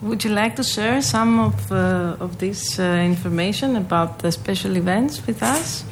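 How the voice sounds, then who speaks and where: A woman interviewer with a mid-range voice asks polite, encouraging questions and pauses on a few fillers along the way. A faint background hum runs under her voice. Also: medium pitch. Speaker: interviewer, host.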